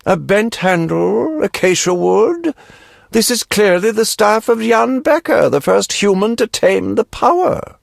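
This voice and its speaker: An actor playing a fussy, pompous, wealthy antiquities collector with a florid, genteel, pedantic manner, in a video game. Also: male voice, high-pitched, animated, unhurried, creaky, no echo, clean studio sound, full character voice. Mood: pedantic, eager.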